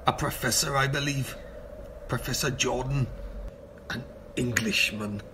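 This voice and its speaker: A man playing a gruff, suspicious Scottish crofter in a comic radio play, blunt and terse. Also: mid-range voice, unhurried, natural voice. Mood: guarded, evasive. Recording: faint noise, homemade recording.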